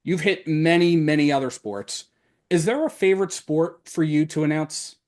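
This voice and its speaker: A man hosting a casual podcast interview, phrasing his questions haltingly as he searches for words. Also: on the high side, darkish tone.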